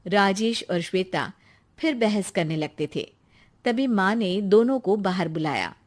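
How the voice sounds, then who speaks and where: A woman narrating a scripted cartoon story in a plain, steady way. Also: mid-range voice, measured pace.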